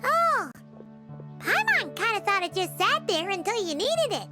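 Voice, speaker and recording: A woman voicing a tiny, excitable floating girl companion who talks about herself in the third person. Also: measured pace, full character voice. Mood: excited. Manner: bubbly, squeaky, refers to herself in third person. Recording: game audio with loud music.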